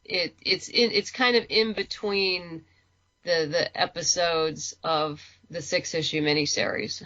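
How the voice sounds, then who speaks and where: A woman's voice: on the low side, steady tone, a little slow, bright, forceful tone, lo-fi sound. A woman chatting informally as an interview guest, thinking aloud as she explains her work as a writer. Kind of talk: casual conversational interview.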